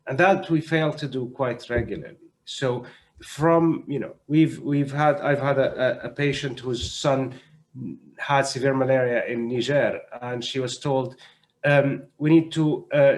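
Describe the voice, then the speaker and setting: A man with a mid-range voice speaks as an expert panelist on an online call. He explains in a measured, thoughtful way, often restarting and repeating words to press his point. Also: mid-range voice. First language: not French (French is third language). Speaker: panelist, doctor. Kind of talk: panel discussion, spontaneous explanation. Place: online video conference.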